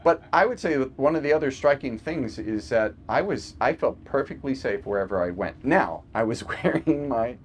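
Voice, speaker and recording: A man's voice: medium pitch, expressive, moderate pace, hardly any pauses, a little hiss or hum. A man, a physician, chatting casually over a video call, telling stories with lots of fillers and laughs. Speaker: physician guest. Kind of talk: casual remote podcast conversation.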